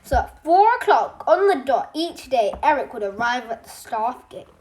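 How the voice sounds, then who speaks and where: A storytime reader narrating a children's book aloud, haltingly, with stumbles and self-corrections. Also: high-pitched, sing-song, darkish tone. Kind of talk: children's audiobook reading, scripted narration.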